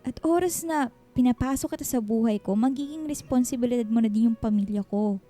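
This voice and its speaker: Actress as guarded single mom, filipino accent, soft hesitant pleading, worried about love, radio drama w music under it. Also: female voice, a little high, brisk, lightly acted. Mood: fearful, pleading. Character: anxious, guarded single mother torn over love.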